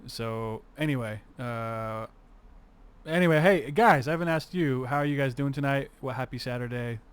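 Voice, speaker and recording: A man's voice: medium pitch, normal speed, clear, resonant tone, no room sound. Young male streamer, stuffy nose, cusses freely, narrates to himself while producing music. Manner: swears freely, mutters to himself while working. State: congested, sniffling. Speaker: streamer making a music remix. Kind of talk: casual livestream chat.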